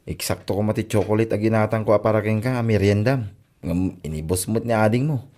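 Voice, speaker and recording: A man's voice: on the low side, a little fast, warm tone, lightly acted. A man with an Ilocano Filipino accent playing a patient young suitor in a comedy drama. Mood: patient, courting. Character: patient young male suitor bringing treats.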